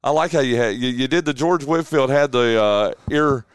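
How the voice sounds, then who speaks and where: A man hosting a casual morning radio show, rambling with fillers, his voice a bit rough and deeper from yelling the night before. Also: normal speed, no room sound. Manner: rambling, chatty, lots of uh fillers. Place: small home radio studio. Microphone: studio mic with headphones.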